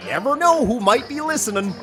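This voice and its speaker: A folksy woman of the county fair in an audio comedy. She chats away with lively, swooping intonation and is quick to scold, with music clearly playing behind her. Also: on the high side. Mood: chatty, folksy.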